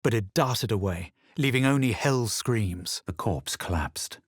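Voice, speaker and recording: An actor playing a smug, self-assured male adventurer in a fantasy video game, measured and dryly dramatic. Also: lowish voice, animated, husky, no room sound, clean studio sound. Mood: grave, brooding. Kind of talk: video game character lines and first-person narration.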